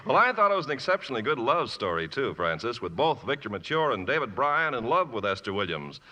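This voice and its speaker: A man's voice: mid-range voice, big pitch swings, quick pace, sharp tone. A man announcing on a 1950s radio show, reading scripted ads in a polished, salesmanlike way. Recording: old radio broadcast in reverberant hall.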